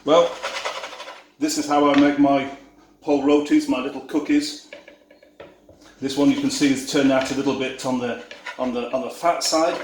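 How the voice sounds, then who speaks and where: A man with a Yorkshire accent talks through a recipe at an easy pace in his home kitchen, self-deprecating and unhurried. His voice rings in a roomy, echoing space. Pots, cutlery and dishes clink clearly behind him. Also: medium pitch, steady tone, background noise. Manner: easygoing, self-deprecating, talks while working. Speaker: cooking video host. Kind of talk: relaxed cooking demonstration. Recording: echoey kitchen with clattering dishes.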